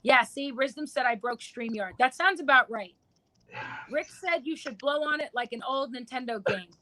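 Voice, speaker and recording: A woman with a New York accent, a guest on a livestream, talking quickly and chatting freely. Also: high voice, bright, forceful tone, no room sound, poor audio. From New York City. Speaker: guest, miniature painter. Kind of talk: casual livestream chat.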